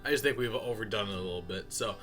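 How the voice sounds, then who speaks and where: A man's voice: on the low side, fast, fairly bright tone, faint music behind. A man giving a casual, unscripted update as a channel host, talking informally in a folksy way and addressing viewers as y'all.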